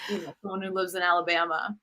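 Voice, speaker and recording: A woman's voice: lowish voice, little pitch movement, quick pace, bright, forceful tone. A woman, a guest telling stories animatedly over a video call, full of fillers and restarts. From New York, US. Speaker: guest, cooking show host. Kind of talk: casual video-call interview. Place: home room, indoors.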